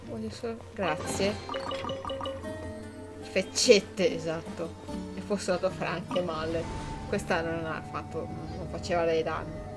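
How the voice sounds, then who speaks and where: A woman's voice: lowish voice, steady tone, moderate pace, pauses often. Woman streamer chatting over game music. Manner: playful, teasing asides. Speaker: live streamer. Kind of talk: casual game stream commentary.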